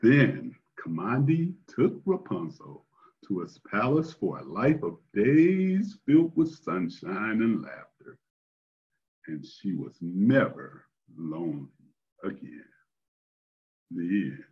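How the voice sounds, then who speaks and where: An older man hosting a story time, speaking in a deliberate, measured way as he reads aloud and chats with listeners. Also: lowish voice, sing-song. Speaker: storyteller and host. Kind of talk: expressive read-aloud storytelling, then casual chat. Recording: dull, lo-fi online call audio.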